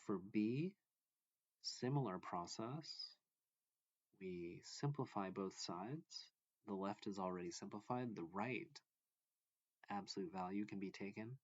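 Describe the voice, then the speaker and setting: Low-voiced man teaching math, echoey room. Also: lo-fi sound. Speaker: math teacher reading a textbook aloud. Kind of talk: instructional read-along of a math textbook.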